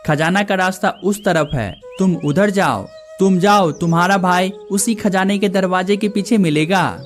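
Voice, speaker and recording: A performer voices an old hermit guarding a cave in a cartoon moral tale. The delivery is solemn and formal, stern but kindly, at a medium pitch with music clearly underneath. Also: moderate pace, lightly acted. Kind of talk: cartoon moral story dialogue.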